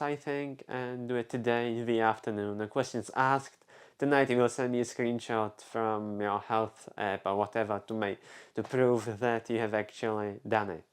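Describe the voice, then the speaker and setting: A man's voice: medium pitch, fairly bright tone, smooth. Rambling young Czech man. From Czech Republic. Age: young adult. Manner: rambling, self-interrupting, many fillers. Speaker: vlogger. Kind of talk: casual personal storytelling.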